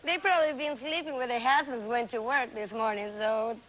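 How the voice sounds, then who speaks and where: Female contestant, Peruvian Spanish accent, high bright voice, excitable, non-native English phrasing. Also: fairly quick, slight background noise, low-quality recording. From Peru. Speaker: game show contestant. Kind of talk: chatty unscripted game show answers.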